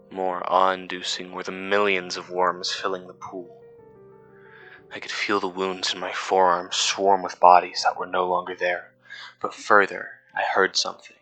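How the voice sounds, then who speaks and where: A man playing a weary, uneasy cave worker who narrates his audio log in a somber, measured, scripted horror-podcast style. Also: sits low, bright, forceful tone. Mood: quiet dread. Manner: somber, measured, literary storytelling. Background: instrumental music.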